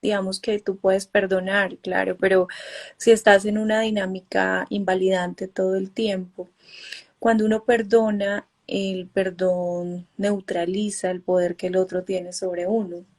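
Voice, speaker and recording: A woman psychologist co-hosting a live online talk, calm and measured, reading out questions from the audience. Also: medium pitch. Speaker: psychologist co-host. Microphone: video call.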